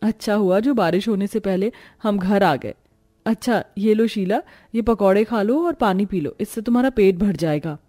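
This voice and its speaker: A woman's voice: medium pitch, moderate pace, mellow, lightly acted. Woman playing a caring, worried young wife. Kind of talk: cartoon story character dialogue.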